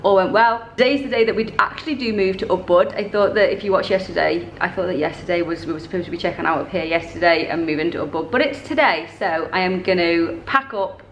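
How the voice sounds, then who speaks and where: A woman's voice: lowish voice, fast talker, hardly any pauses, echoey room, a little hiss or hum. A woman, talking casually to a handheld camera in a travel vlog, chatty and rambling, often correcting herself mid-sentence. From northern England. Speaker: travel vlogger. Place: hotel room indoors and busy street outdoors. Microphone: camera held at arm's length.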